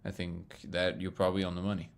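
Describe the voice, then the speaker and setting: Low gravelly guy on a livestream, loud booming voice, excitable, laughs a lot answering chat. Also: fast talker. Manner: excitable, laughs often, reads chat aloud. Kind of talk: casual live-stream chat.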